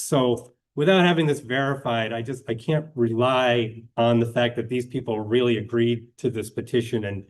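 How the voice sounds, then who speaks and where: A man presiding as hearing officer at a public hearing, speaking in a measured, procedural way with frequent hesitations. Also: mid-range voice.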